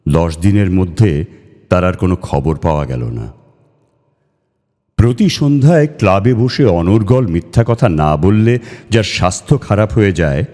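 A man reading a literary story aloud in a measured, theatrical way, as a storyteller. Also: low voice, a little mellow. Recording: long reverb over music. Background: music.

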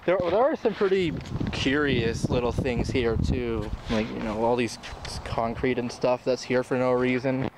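A young man narrates his walk through an abandoned tennis court, chatty and rambling as he points things out. His voice sits in a mid range for a man. It comes through a handheld camera mic outdoors, with clear background noise all around. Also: mid-range voice, measured pace. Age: young adult. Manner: rambling, chatty, laughs often. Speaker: vlogger. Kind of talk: casual exploring vlog.

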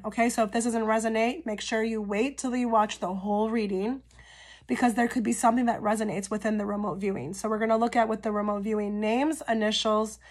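Slightly hoarse chatty woman tarot reader. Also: on the high side, darkish tone. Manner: chatty, frequent "okay?" check-ins with viewers. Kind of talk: pick-a-card tarot reading to camera.

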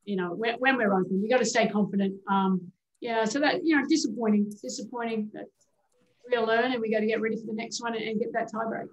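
A woman's voice: a little high, steady tone, quick pace. A middle-aged woman head coach answering questions at a postgame press conference, speaking candidly with plenty of fillers and restarts. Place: indoor media room before a team backdrop. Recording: echoey room sound.

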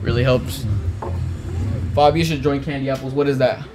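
A man's voice: mid-range voice, fairly even intonation, moderate pace, darkish tone, a little hiss or hum. A young man streaming from a small room, chatting casually with his viewers while reacting to a video. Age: young adult. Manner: chatty, talks to viewers, trails off and restarts. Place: small cluttered room with green screen.

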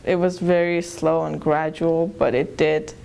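A young woman from Iran, interviewed in a small plain room on a lapel mic, telling her story in a thoughtful, soft-spoken way with some restarts. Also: deep voice, flat delivery, unhurried, vocal fry. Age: young adult. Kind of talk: personal account.

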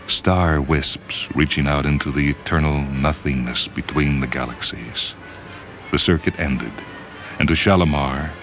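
A man reading a story as a dramatic narrator, with measured, solemn and deliberate phrasing. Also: rumbling low, slight background noise. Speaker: narrator reading short fiction. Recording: music under the voice. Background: orchestral piano music.

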